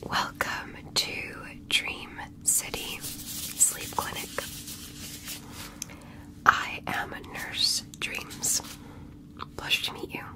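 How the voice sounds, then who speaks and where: A woman whispering softly through a nurse roleplay, gentle and reassuring, checking for permission and calling the listener darling. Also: drawn-out words, pauses often, rough recording. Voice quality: soft whisper. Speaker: ASMR creator playing a nurse. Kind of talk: whispered ASMR medical roleplay.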